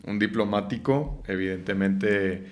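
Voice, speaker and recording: A man with a Mexican Spanish accent, hosting an interview podcast with attentive, short replies. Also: on the low side. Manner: attentive, quick backchannel replies. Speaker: podcast host. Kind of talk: casual interview.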